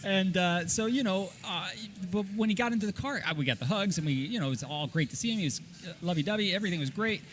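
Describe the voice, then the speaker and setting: A middle-aged man co-hosting a casual podcast, telling stories in an animated way and given to laughing. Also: sits high, quick pace, hardly any pauses, slight background noise. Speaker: podcast co-host. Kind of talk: casual podcast chat and storytelling.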